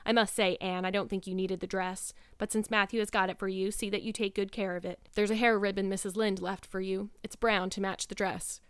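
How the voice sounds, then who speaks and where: A stern older woman guardian from a storybook, acted by a woman narrator, brisk and scolding. She speaks stiffly at a medium pitch, cleanly and with practical disapproval. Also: level tone, smooth, no echo, natural voice. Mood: brisk, grudging.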